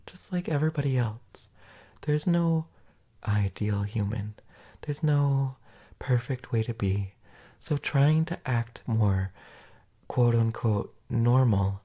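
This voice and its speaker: A tender, soothing partner played by a woman in an ASMR comfort roleplay. The voice is low for a woman and mellow, soft and breathy, often sinking toward a whisper. The reassurance comes slowly and gently, with room to breathe between phrases. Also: full character voice. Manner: gentle, soothing, unhurried, with pauses.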